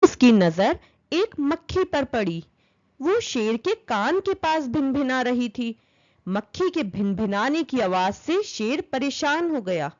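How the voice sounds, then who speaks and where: High, animated woman storyteller. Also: slow, highs cut off. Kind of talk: expressive children's story narration.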